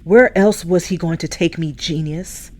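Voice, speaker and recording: A woman's voice: fairly low pitch, a little slow, a little mellow. An actress playing a haughty, menacing female werewolf in a comedy audio drama, cool and disdainful.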